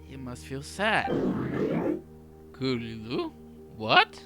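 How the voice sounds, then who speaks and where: A woman's voice: rumbling low, wildly swooping pitch, very slow. A woman doing casual let's play commentary, reacting aloud in a playful way. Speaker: gaming streamer. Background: game music.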